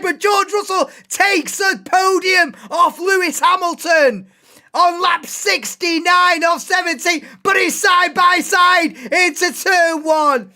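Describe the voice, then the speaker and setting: A woman hosting a live race commentary stream, excitable and bursting into shouts and laughs, her voice going hoarse. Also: high voice. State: losing voice, hoarse.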